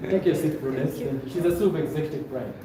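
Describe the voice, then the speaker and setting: A fairly high-pitched man with a Zambian accent leads a motivational training session. He gives instructions, repeats himself and checks in with his listeners. The voice comes from well away from the mic in a very echoey, noisy room. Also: fast, far from the mic. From Luapula, Zambia. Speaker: trainer leading a presentation course. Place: indoor training room with stage.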